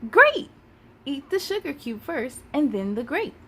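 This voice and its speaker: Woman doing storybook teacher lady, high and super animated, patient, encouraging, explains stuff to the class. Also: measured pace, a little hiss or hum, full character voice. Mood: bright, encouraging. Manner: encouraging, instructive, emphatic. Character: upbeat, patient woman schoolteacher leading a history class. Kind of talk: children's storybook read-aloud.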